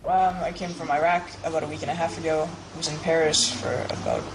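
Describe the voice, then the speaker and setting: A woman's voice: deep voice, steady tone, fast talker, noisy background. A young adult woman answering interview questions casually and hesitantly, with many fillers and restarts.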